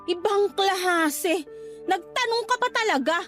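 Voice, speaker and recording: Shrill, angry protective younger sister. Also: female voice, animated, slow, fairly bright tone, faint music behind, heavily acted. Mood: irritated, curt. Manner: accusing, emotional, sarcastic. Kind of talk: Tagalog radio drama acting.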